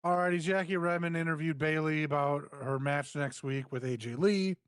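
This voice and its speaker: A man's voice: fairly high pitch, normal speed, clean tone, no echo, clean studio sound. A man co-hosting a wrestling review, recapping the show in wry, casual chat.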